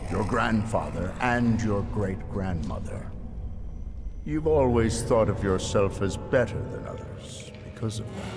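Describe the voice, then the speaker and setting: Deep-voiced, coldly taunting spirit judge. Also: male voice. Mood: cold, mocking. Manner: measured, theatrical, accusatory, condescending. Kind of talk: dramatic video game voice acting. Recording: music under the voice.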